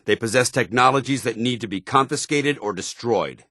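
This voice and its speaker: An actor playing an earnest, honour-bound soldier paladin in a video game, with a firm voice and grave, formal, deliberate delivery. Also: male voice, medium pitch, bright, forceful tone, dry recording, clean studio sound. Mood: resolute. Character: earnest, honour-bound military paladin, a synthetic man troubled by his identity. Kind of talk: dramatic video game dialogue.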